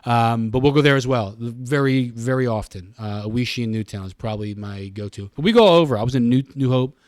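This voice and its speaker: A man's voice: mid-range voice, fairly quick, darkish tone, no room sound, studio quality. A man, middle-aged, chatting casually as an interview guest and repeating phrases for emphasis.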